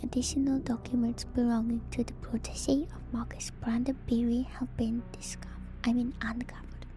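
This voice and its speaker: A woman doing ASMR in a breathy whisper, reading lore aloud with hushed, enthusiastic casual commentary. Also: fairly high pitch, little pitch movement. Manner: hushed, slow, enthusiastic asides. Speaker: streamer and lore reader. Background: music.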